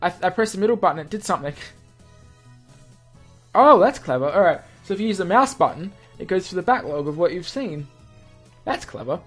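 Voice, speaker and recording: A gamer reading a visual novel aloud with casual, easily amused commentary, thinking out loud. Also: mid-range voice, lively intonation, fairly quick, long pauses, breathy edge. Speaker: gamer, channel host. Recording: music under the voice.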